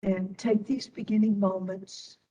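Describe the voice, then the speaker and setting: Slow, mellow woman guiding meditation, slightly distant. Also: mid-range voice, steady tone, highs cut off. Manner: soothing, unhurried, with long pauses between short phrases. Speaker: meditation and yoga instructor. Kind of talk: guided meditation.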